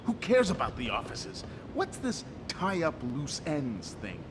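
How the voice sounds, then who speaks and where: Video game henchman: sardonic, short-tempered, very animated, over heavy insect-filled background noise. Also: a little high, unhurried, big-room sound. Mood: annoyed. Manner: exasperated, sardonic. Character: irritable, sarcastic male henchman, impatient with a dim partner.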